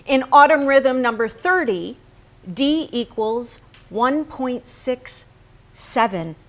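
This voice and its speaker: A painter's cerebral wife in a staged play reading. Her voice sits fairly high, and she delivers her words with precise, measured emphasis. She is unflinching and exact. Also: expressive, a bit bright, exaggerated voice. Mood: analytical. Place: staged reading in a barn venue.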